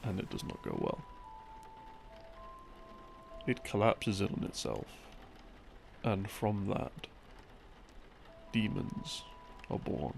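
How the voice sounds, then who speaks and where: A man with a very gravelly, creaky voice talks very slowly over clearly audible music. He rambles through a story meant to help someone sleep, halting and musing between phrases. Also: lowish voice, wildly swooping pitch. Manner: halting, musing delivery with pauses. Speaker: audio roleplay creator playing a boyfriend. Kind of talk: rambling storytelling, sleep-aid lore explanation. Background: background music.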